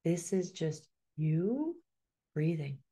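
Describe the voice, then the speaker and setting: A middle-aged woman guiding a hypnosis session in a slow, soothing way, often sinking into a soft whisper. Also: low-pitched, sing-song, breathy edge.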